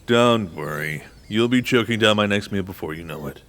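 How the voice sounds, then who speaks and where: A man's voice: fairly low pitch, normal speed, fairly bright tone, slight background noise. A man playing a wry, grumbling bounty hunter's partner in an audio drama. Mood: reassuring. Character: wry, grumbling male crew member, loyal partner.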